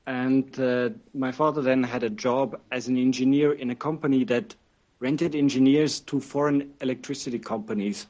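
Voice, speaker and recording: Man, native German speaker, interview guest, reflective and explaining at length, average pitch, dull lo-fi sound. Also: fairly even intonation, moderate pace, darkish tone. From Switzerland. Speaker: interview guest, game master. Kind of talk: casual conversation. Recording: muffled lo-fi audio.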